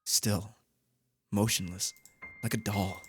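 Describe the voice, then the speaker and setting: A man's voice: on the low side, steady tone, slow, slight background noise. A man reading a visual novel aloud as a streamer, mixing dramatic narration with casual commentary, his voice a little tired. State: voice tiring.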